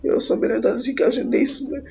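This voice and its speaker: A woman's voice: fairly high pitch, fairly even intonation, gravelly, mellow. A young Czech woman giving casual, sarcastic let's play commentary, informal and sweary. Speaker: gaming YouTuber. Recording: dull lo-fi mic with faint noise.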